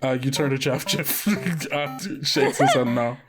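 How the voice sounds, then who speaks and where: A game master leading a tabletop roleplaying game, narrating and reading rules in a relaxed, hesitant way with many fillers. The voice sits at a middling pitch. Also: unhurried, music in the background.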